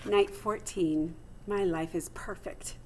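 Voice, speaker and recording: A woman's voice: on the low side, fairly even intonation, takes it slowly, darkish tone, slight background noise, voice pushed down. A woman voicing a small, plucky male hamster who reads his diary aloud theatrically and earnestly, as in a children's storybook. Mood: contented. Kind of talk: storybook read-aloud in first person.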